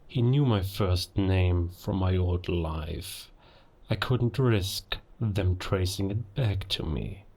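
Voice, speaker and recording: Guy doing a low voiced werewolf bad guy, super slow n deliberate, proud and threatening, audio roleplay. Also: darkish tone, exaggerated voice. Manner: slow, deliberate, menacing, grandiose. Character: menacing male werewolf villain, proud, gloating self-styled king of beasts. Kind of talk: cinematic audio roleplay monologue.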